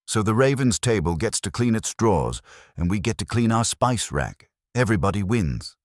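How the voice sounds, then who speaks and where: A man's voice: on the low side, sing-song, moderate pace, dry recording, studio quality, voice pushed up. A man voicing a wry, quipping detective's assistant in an audiobook mystery.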